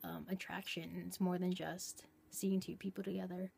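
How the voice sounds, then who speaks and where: A woman, talking casually as a fan, halting and emphatic, repeating words. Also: lowish voice, little pitch movement, gravelly, darkish tone, husky, soft background music. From Canada. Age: 20s. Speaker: fan interviewee. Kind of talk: casual interview talk.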